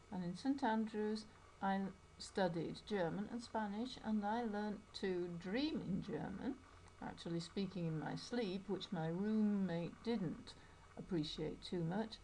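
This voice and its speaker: A woman's voice: mid-range voice, dark tone, a little hiss or hum, low-quality recording. A middle-aged woman with a Yorkshire accent, an English teacher chatting through her own story in a personal video. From England. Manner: chatty, storytelling with small asides.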